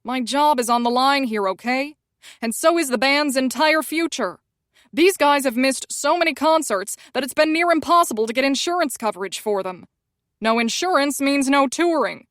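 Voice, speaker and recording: A woman's voice: high voice, normal speed, fairly bright tone, no echo, studio quality. A woman voicing a panicky male publicist with a grating whine and a frantic, accusing way of talking. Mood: whining.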